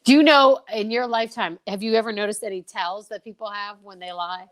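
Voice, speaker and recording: A woman's voice: medium pitch, fairly quick. A woman hosting a casual chat show, talking in an effusive, encouraging manner. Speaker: show host.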